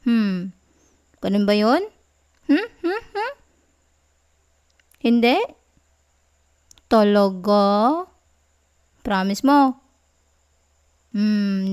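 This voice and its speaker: A woman with a Filipino accent playing a clingy, affectionate, playfully sulky girlfriend in an intimate ASMR roleplay, soft, cooing and teasing. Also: fairly high pitch, clear, resonant tone. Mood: pouty, teasing.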